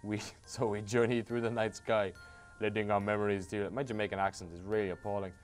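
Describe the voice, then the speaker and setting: A man's voice: on the low side, little pitch movement, brisk, faint music behind. An Irish man reading a game's dialogue aloud, with sarcastic, swearing asides between the lines. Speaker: host playing a game.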